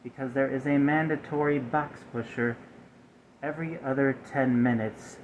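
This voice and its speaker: Man acting as a halting soft-spoken robot, muffled hissy audio. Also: medium pitch, steady tone, natural voice. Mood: stiff, aggrieved. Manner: formal, halting, over-precise robotic phrasing. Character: fired malfunctioning male robot, stiff, glitchy, aggrieved. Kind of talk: soft-spoken ASMR roleplay monologue.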